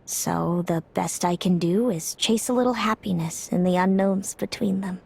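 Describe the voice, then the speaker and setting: A woman voicing a proud, fatalistic older sister in a video game, measured and grave. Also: on the low side, gravelly, a little mellow, breathy edge. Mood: resigned. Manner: measured, grave, speaks of fate with cool confidence.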